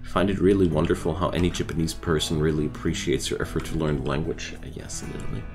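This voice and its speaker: A man's voice: a bass voice, fairly even intonation, quick pace, over music. A man who is not a native English speaker, chatting casually and rambling with viewers while streaming a game. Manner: rambling and chatty, restarts thoughts.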